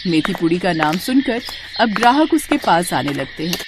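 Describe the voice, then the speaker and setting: A woman narrating a scripted moral tale for animation in an expressive storytelling manner. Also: fairly low pitch, moderate pace, a little hiss or hum.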